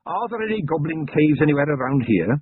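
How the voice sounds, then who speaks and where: A man's voice: fairly high pitch, big pitch swings, a little fast, breathy edge, no room sound, voice pushed up. A man voicing a polite, earnest young male officer who speaks courteously and formally. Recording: tinny phone-like sound.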